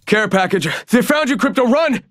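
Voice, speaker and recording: Cocky game trickster, high voice swooping all over, stammery and teasing, super dry recording. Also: male voice, expressive, moderate pace, a bit bright, no echo. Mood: mock-excited teasing. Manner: flippant, stammering, theatrical teasing. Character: cocky, joking young male trickster fighter. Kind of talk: video game character voice lines.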